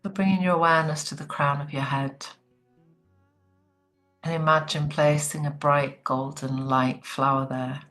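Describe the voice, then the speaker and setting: A woman leading a guided relaxation meditation in a soothing, gentle, unhurried manner. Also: low-pitched, steady tone, soft background music, poor audio.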